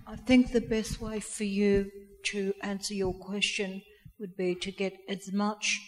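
A woman of sixty, speaking from the audience in a plain, halting, personal way. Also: mid-range voice. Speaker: audience member at a community talk. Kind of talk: personal comment from the floor. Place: reverberant indoor hall. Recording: echoey hall with long reverb.

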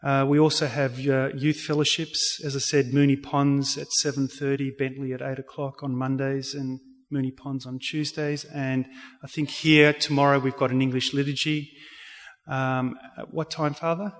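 A man with an Australian accent giving a public talk and answering questions in a halting, earnest, explanatory way. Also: mid-range voice, level tone. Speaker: religious speaker giving a community talk. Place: reverberant indoor hall. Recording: echoey hall with long reverb.

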